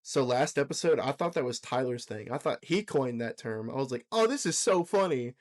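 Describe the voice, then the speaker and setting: A young man co-hosting a podcast, his voice hoarse from a recent sickness. He rambles along with plenty of ums and likes, chuckling as he goes, at an ordinary middle pitch. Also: animated, fairly quick. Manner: rambling, filler-heavy, laughs often. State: hoarse, recovering from illness. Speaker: podcast co-host. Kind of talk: casual podcast chat.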